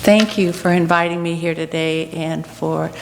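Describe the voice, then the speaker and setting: A woman's voice: low voice, fairly even intonation, moderate pace, vocal fry. A woman giving an informal address to a congregation as a guest speaker. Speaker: guest speaker, charity board president. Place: church sanctuary. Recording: reverberant hall with faint noise.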